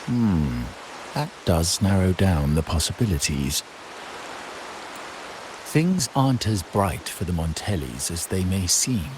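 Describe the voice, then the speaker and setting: Proud scheming mafia heir for a game, really low voice, swooping formal delivery, some hiss. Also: male voice, big pitch swings, exaggerated voice. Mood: guarded, grave. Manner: formal, measured, pompous. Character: proud, scheming male heir of a crime family. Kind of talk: video game voice acting.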